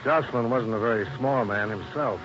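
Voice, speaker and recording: Guy playing dry american radio detective, lowish voice, muffled old recording, music behind. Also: fairly quick, close to an everyday voice. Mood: wry narrating. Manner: dry, wisecracking. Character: wry, hardboiled American insurance investigator narrating his case. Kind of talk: 1950s radio detective narration and dialogue.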